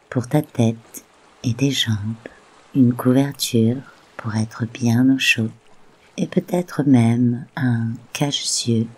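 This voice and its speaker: Meditation guide: very slow, breathy and soft, medium pitch, soothing unhurried delivery. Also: poor audio. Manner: soft, unhurried, gently spaced phrases.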